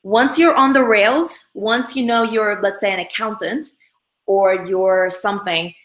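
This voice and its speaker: A young woman originally from Russia, with Russian as her first language, chats upbeat and loose on her livestream, full of ums and restarts as she reads comments. Her voice sits at a middle pitch, and the room around her gives a noticeable echo. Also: a little slow, fairly bright tone. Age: young adult. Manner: chatty, upbeat, many fillers and restarts. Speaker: livestream host. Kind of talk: casual livestream chat answering comments.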